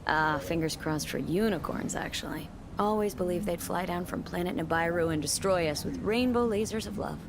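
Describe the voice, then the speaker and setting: A woman voices a young streetwise mercenary in a video game. Her voice is slightly low and dryly skeptical, with a playful edge. There is clear noise behind her. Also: normal speed, few pauses, lightly acted. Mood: wry joking.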